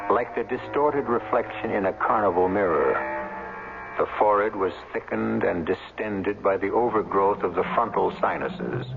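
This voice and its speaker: A man giving grave, deliberate, theatrical scripted narration for a 1970s radio drama. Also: lowish voice, gravelly, narrow, tinny audio, noisy background. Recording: thin, band-limited old radio broadcast.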